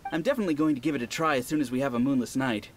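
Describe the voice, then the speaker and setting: A man's voice: medium pitch, very fast, close to an everyday voice. A man playing a keen, chatty young reader in a video game, speaking eagerly.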